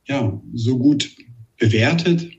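A man with a Saxon German accent and a slight lisp, a meditation teacher answering interview questions calmly and thoughtfully. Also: medium pitch, distant mic, muffled recording. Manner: calm, measured, searching for words.

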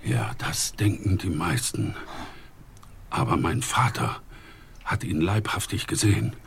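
An actor playing an anxious wealthy man in a radio play who speaks slowly and hesitantly. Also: deep voice, expressive, creaky, a little hiss or hum, exaggerated voice. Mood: uneasy. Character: rich, anxious man haunted by his father's past.

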